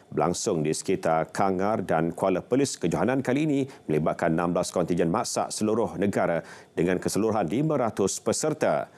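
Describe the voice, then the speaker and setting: A man's voice: on the low side, darkish tone. A man with a Malaysian accent reading scripted sports news as a presenter. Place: television studio. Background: music.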